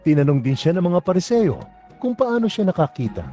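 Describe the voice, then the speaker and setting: Male narrator, scripture reading, slightly high pitch, soft mellow tone, light music behind. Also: big pitch swings, a little fast.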